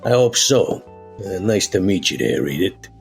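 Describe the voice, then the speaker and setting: A man voicing a gruff, laid-back male vampire in a tabletop game, gravelly and husky, with slangy, folksy, hesitant phrasing. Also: deep voice, very animated, normal speed, soft background music, full character voice. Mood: friendly, candid.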